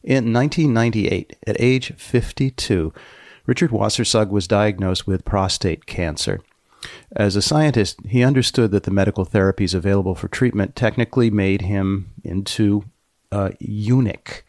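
A man hosting a podcast, narrating from a script with deliberate pauses and dramatic emphasis. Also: lowish voice, darkish tone, dry recording, clean studio sound. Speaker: podcast host.

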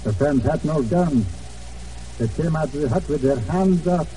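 An actor in an old radio drama playing a loyal, grave island rancher with halting, deliberate, formal phrasing. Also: male voice, a little high, warm tone, natural voice. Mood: sorrowful. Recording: muffled old radio, distant, faint noise.

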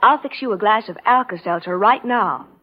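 A woman's voice: medium pitch, normal speed, breathy edge, lightly acted. A woman playing a doting, soothing wife in a vintage radio advertising sketch, sympathetic and reassuring. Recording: dull vintage radio broadcast recording.

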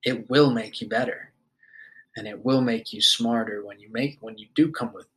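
A man's voice: on the low side, normal speed, low-quality recording. Rambling, foul-mouthed young man. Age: 20s. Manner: loose and rambling, swears often, many fillers. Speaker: podcast host. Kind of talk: casual monologue.

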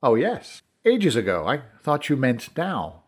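A dutiful leprechaun officer from a fantasy storybook, played by a man, speaking formally as if reporting to superiors. The voice sits high, with a slightly husky, expressive sound. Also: measured pace. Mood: matter-of-fact.

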